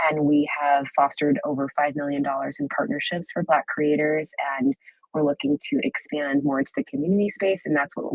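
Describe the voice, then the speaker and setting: A businesslike man speaks steadily as a panelist. His voice is husky and gravelly, a little high for a man, and it sits in a dry, dead room. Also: no echo, rough recording. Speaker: panelist, head of a creator agency. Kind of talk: casual panel introduction.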